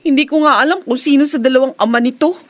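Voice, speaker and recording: High-pitched, distraught young Filipina soap heroine. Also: female voice, fast, a bit bright, no room sound. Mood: anxious, confused. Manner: emotional, plaintive. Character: distraught young pregnant woman, anxious and confused. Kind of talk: Filipino radio soap opera acting.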